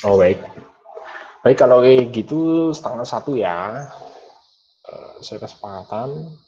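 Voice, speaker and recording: A man's voice: mid-range voice, lively intonation, slow, dark tone. A young male lecturer talks through an online class wrap-up, hesitating and restarting often. His mid-range voice comes through a dull, lo-fi call line. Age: 20s. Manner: hesitant, many fillers and restarts. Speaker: university lecturer. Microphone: video call.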